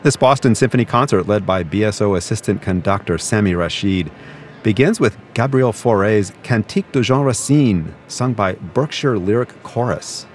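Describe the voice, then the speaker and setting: A man with a low, deep voice introduces a classical concert on the radio. His scripted narration is measured and even, the steady pacing of a seasoned broadcast announcer. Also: a little hiss or hum. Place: concert venue broadcast booth.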